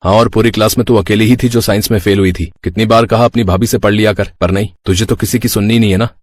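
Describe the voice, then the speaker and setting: Supportive young husband, low-pitched played by a man. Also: fairly even intonation, fast talker, natural voice. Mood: firm. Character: supportive young husband, protective, reasonable, firm.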